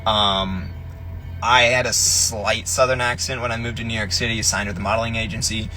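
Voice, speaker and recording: A man's voice: on the low side, fairly even intonation, normal speed, bright, forceful tone, faint music behind, poor audio. Chatty young man live-streaming from his car. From Tennessee. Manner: chatty, rambling with frequent ums and restarts. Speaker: fitness influencer, personal trainer. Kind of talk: casual live-stream chat. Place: parked car.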